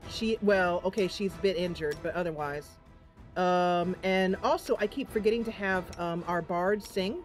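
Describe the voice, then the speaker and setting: A woman's voice: lowish voice, moderate pace, soft background music. A woman giving casual, chatty let's play commentary, thinking aloud and reacting as she plays. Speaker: gaming channel host.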